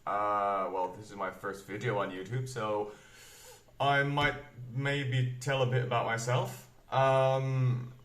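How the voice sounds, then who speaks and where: A man's voice: medium pitch, fairly even intonation, a bit bright. A man in his twenties with a Flemish accent that mixes American and British English, rambling through a casual bookshelf tour at home. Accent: Flemish, mixing American and British English. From Belgium. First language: Flemish dialect of Dutch. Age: 20s. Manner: rambling, self-correcting, unhurried. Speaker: book vlogger. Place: room at home. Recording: echoey room.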